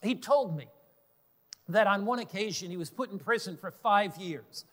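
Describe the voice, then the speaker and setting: A man's voice: high voice, animated, normal speed, fairly bright tone. A man preaching a sermon in an earnest, emphatic way, building on repeated phrases. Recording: long hall reverb.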